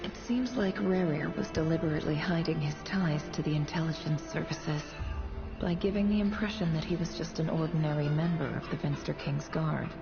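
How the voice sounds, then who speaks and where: A cool female spy in a video game. She muses drily in a low, gravelly voice, with an echo around it and music behind. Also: a little fast. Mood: coolly intrigued. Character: cool, shrewd female intelligence operative. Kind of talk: video game voice acting, reflective monologue. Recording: echoey, dull game audio under music.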